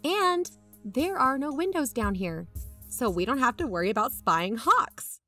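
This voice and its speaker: A woman's voice: high-pitched, very animated, unhurried, sharp tone, full character voice. A woman playing an earnest, dry-humoured investigator who co-hosts a podcast, narrating in a plain-spoken, conversational way. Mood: dry, assured. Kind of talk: conversational scripted audio drama narration. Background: background music.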